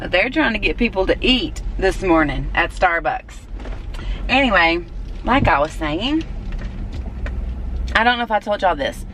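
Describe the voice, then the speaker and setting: Southern woman vlogger, casual and rambling, middle pitch, recorded on the go in a car with street noise. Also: expressive, measured pace, long pauses, a bit bright, rough recording. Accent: Southern US (Alabama). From Alabama. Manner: rambling and chatty, many fillers. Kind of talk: casual chat to camera.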